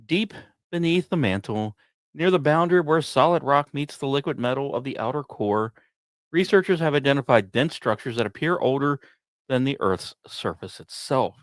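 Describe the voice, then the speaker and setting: Male host, mid-range voice, measured scripted science read, explanatory delivery, very dry close recording. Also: mid-range voice, animated, no echo. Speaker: podcast host.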